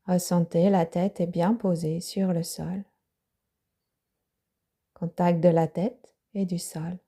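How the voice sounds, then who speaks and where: A woman yoga teacher guiding a yoga nidra relaxation, speaking softly with breathy, half-whispered phrases. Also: lowish voice, little pitch movement. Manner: slow, soft-spoken guidance with breathy, half-whispered phrases.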